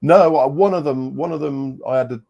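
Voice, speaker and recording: A man's voice: medium pitch, a little fast, gravelly, warm tone, lo-fi sound. A man co-hosting a live chat over a video call, audibly bunged up from an illness, speaking hesitantly with lots of ums and dry understatement. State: congested, still coughing. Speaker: co-host. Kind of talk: casual live-stream chat.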